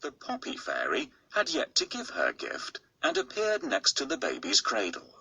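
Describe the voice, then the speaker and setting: Husky, gravelly storybook narrator man. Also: fairly low pitch, huge pitch swings, moderate pace, pushed and bright, rough recording. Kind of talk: bedtime storybook read-aloud.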